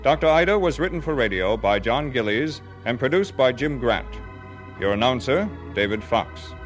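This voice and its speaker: A man announcing a radio drama, reading scripted narration in a formal, measured and solemn manner. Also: mid-range voice, expressive, faint music behind.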